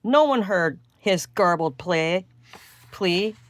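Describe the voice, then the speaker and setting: Female storybook reader, slow delivery, singsong and expressive with drawn-out rhyming phrases. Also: fairly low pitch, no echo. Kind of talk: scripted read-aloud of a rhyming children's storybook.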